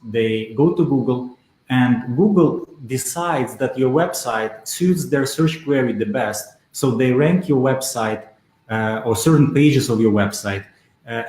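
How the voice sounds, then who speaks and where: A man's voice: mid-range voice, a little mellow. Man explaining in a roomy echo. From Belarus. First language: Russian. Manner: measured, with frequent "uh" pauses. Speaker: guest, SEO consultant. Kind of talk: explanatory interview answers.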